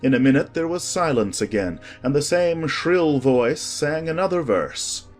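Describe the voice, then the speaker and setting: An audiobook narrator reading a children's story in a measured, expressive scripted style. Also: mid-range voice, faint music behind.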